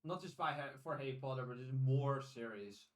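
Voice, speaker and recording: A man co-hosting a podcast in casual chat, giving opinions in a measured, hesitant way. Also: mid-range voice. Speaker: podcast co-host. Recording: slightly distant from the mic.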